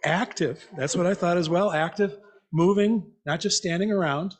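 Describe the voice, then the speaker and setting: Male lay preacher, somewhat high-pitched, thoughtful and conversational, leading an interactive message for a congregation. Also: moderate pace. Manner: conversational, reflective, repeats listeners' answers back. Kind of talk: interactive sermon, asking the congregation questions. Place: church meeting, speaking to a congregation.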